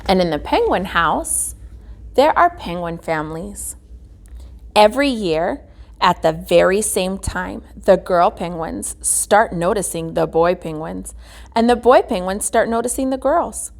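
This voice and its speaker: A woman reading a children's picture book aloud as a guest reader, phrasing it deliberately with emphasis. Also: mid-range voice, expressive, vocal fry. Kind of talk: children's storybook read-aloud.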